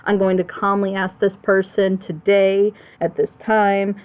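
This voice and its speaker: A woman hosting a group reaction show, chatting casually and giving rambling, encouraging advice in an animated way over an online voice call. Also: mid-range voice, measured pace, narrow, tinny audio. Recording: thin, band-limited voice chat audio.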